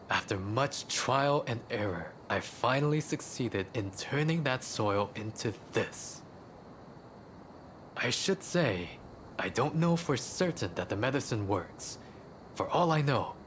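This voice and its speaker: A man's voice: medium pitch, a bit bright, slight background noise. A man voicing a composed, candid medicine maker in a conversational video game cutscene.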